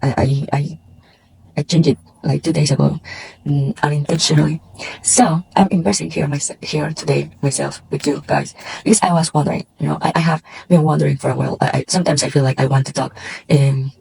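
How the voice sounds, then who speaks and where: Low gravelly woman with Mexican accent. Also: fairly even intonation, fairly quick, mellow, rough recording. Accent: Mexican Spanish. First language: Spanish. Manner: hesitant, many fillers and restarts. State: tired, has not slept. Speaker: tarot reader. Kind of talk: casual chat to camera.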